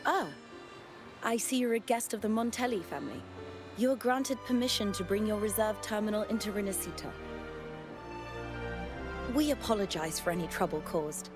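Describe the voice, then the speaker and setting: A woman's voice: medium pitch, normal speed, long pauses, natural voice. A woman playing a dutiful customs officer of a religious order in a video game, polite and formal in an official way. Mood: deferential. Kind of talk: scripted video game voice acting. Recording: game music behind the voice.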